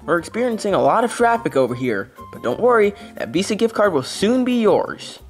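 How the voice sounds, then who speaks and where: A man's voice: high-pitched, sing-song, brisk, faint music behind. A man voicing an anxious young fox little brother, hesitant and rambling, in an amateur plush comedy skit. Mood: fake official.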